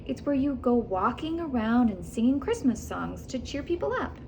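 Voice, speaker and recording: A woman's voice: fairly high pitch, very animated, normal speed, darkish tone, slight background noise, pitched above the performer's own voice. Woman voicing an eager, lilting young storybook girl. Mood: hopeful. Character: eager, joyful young girl who loves singing.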